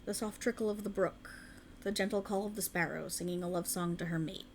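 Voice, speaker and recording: An ancient, alluring faerie woman, played by a woman speaks in a creak-edged voice at a medium female pitch. She coaxes in formal, archaic phrases, tender and soothing with a sinister possessive streak. It is an ASMR roleplay with some ambient noise behind the voice. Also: fairly even intonation, a little fast, lightly acted. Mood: indignant, comforting.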